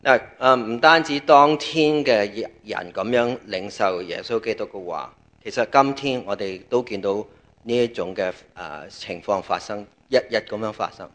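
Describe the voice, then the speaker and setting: A man with a Cantonese accent delivers a sermon in a fairly low voice. He talks conversationally and breaks his thoughts into short phrases, leaving gaps for an interpreter. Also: normal speed, a bit bright. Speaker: preacher.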